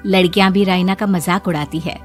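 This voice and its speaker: A woman's voice: lowish voice, fairly even intonation, a little fast, faint music behind. A woman storyteller reading scripted narration for a moral tale.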